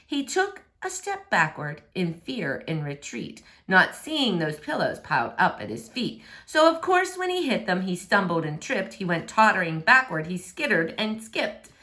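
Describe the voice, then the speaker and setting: Bright, animated woman reading a storybook. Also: mid-range voice, measured pace, hardly any pauses. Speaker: storybook narrator. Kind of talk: expressive read-aloud of rhyming children's story.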